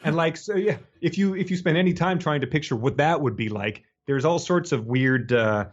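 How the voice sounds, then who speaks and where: A man co-hosting a casual comedy podcast, talking haltingly and often repeating words as he goes. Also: fairly high pitch, muffled recording. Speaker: podcast co-host. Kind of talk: casual comic book discussion.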